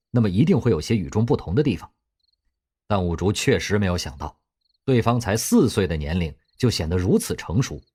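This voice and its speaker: A man narrating an audio drama in an expressive storytelling manner. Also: on the low side, husky.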